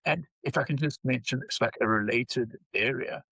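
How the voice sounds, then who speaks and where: An older man with a South African accent, speaking as an interview guest in a measured way with frequent pauses. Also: mid-range voice, gravelly, bright, forceful tone, breathy edge, dry recording, rough recording. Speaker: guest psychology researcher. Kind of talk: interview conversation.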